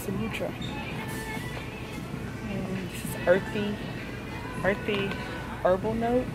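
Chatty American woman vlogger over loud music. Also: medium pitch, fairly even intonation, slow pace. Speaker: lifestyle vlogger. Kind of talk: casual chat to viewers. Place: car, then a large store.

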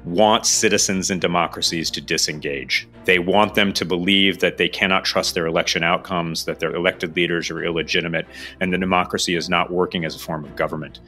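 A man speaks as an expert guest in a formal interview. His voice is low but bright and forceful, with faint music underneath. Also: fairly even intonation, a little fast. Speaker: nonprofit director, expert guest.

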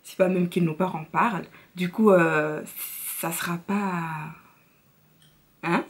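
A woman's voice: fairly low pitch, fairly even intonation, unhurried, husky. A young woman with an Italian accent, chatting casually through a makeup tutorial in her bedroom, switching between languages with frequent fillers. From Italy. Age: young adult. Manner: chatty, mixes French and Lingala, frequent fillers. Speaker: beauty vlogger. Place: bedroom, indoors.

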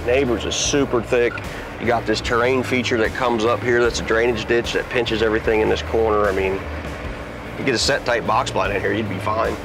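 Man, lowish pitch, informal guide pointing out terrain, distinct music bed. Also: flat delivery, fairly quick. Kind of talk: casual running commentary on terrain. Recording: clear music bed behind the voice.

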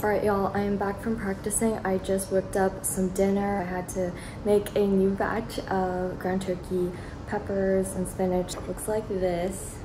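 A woman's voice: mid-range voice, level tone, a little slow, loud music behind the voice, rough recording. A young woman, a college student, talking casually to her camera about her day, chatty and encouraging, with lots of fillers. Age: young adult. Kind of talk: casual vlog talk to camera.

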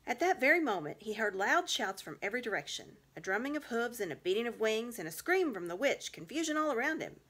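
A woman's voice: a little high, very animated, fast talker, hardly any pauses, fairly bright tone. A middle-aged woman reading a children's story aloud at home. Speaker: reader. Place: small home room, indoors.

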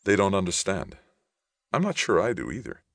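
A man's voice: deep voice, wildly swooping pitch, moderate pace, a bit bright, dry recording, clean studio sound, voice pushed up, full character voice. A man voicing a troubled, earnest male war veteran in an audiobook. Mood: frustrated. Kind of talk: audiobook character dialogue.

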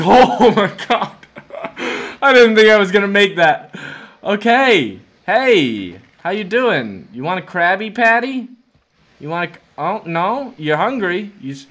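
Animated young man, high-pitched gaming commentary. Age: 20s. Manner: dramatic, jokey, talks to game characters. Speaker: gaming video host. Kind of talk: excitable gameplay commentary.

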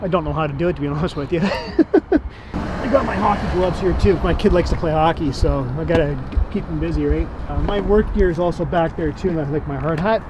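A man with a fairly high voice talks loosely from inside his parked minivan. He rambles, restarts his sentences and keeps tagging on "right?". Traffic and street noise carry clearly in the background. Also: fairly quick. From Canada. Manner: rambling, filler-heavy, tags sentences with "right?". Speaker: interviewee. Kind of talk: casual chat. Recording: vehicle and street noise behind. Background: outdoor traffic ambience.

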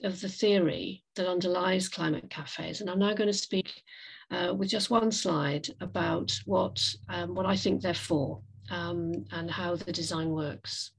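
Low, very creaky-voiced woman presenting. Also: low-quality recording. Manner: hesitant, reflective, measured phrasing. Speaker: guest speaker, climate café facilitator. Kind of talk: slide presentation talk in an online webinar. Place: indoors, on a video call. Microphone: video call microphone.

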